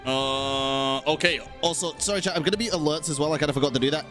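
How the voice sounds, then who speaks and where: A woman's voice: low voice, fast talker, over music. A woman streaming a video game, giving casual live commentary with informal, playful interjections.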